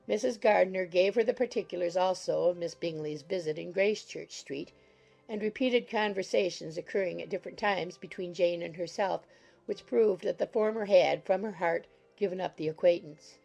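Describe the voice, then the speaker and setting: A woman reading a classic novel as an audiobook narrator, in a formal, expressive storytelling manner. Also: on the low side, moderate pace, creaky, soft background music. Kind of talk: scripted literary narration.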